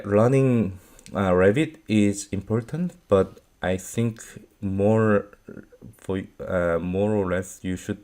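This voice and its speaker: Korean-born man, korean native speaker, very low voice, unhurried, hesitant with lots of ums, loose non-native grammar. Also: a little mellow. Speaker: vlog host answering viewer questions. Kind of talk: unscripted Q&A advice talk.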